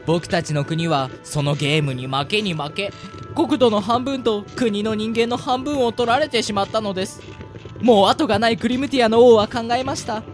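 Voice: mid-range voice, moderate pace, faint music behind, natural voice. A performer in an amateur radio drama playing a polite male rabbit guide who explains things in formal speech. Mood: earnest explaining.

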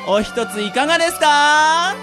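Man playing a blunt young cake seller, animated. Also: extremely high pitch, unhurried, music in the background. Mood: calling out. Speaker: radio drama performer. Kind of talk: amateur Japanese radio drama acting.

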